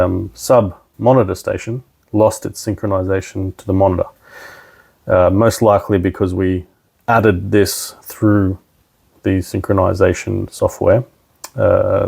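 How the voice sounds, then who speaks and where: A man's voice: low-pitched, creaky, dark tone. A man presenting a casual technical tutorial, explaining things informally step by step. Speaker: presenter, installer trainer.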